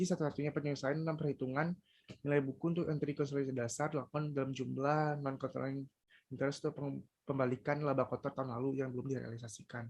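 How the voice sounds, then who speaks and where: A young man with an Indonesian accent reading out an academic presentation as a student, haltingly, stumbling over English technical terms. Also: medium pitch, gravelly, darkish tone, slightly rough. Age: young adult. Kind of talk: scripted academic presentation, read aloud.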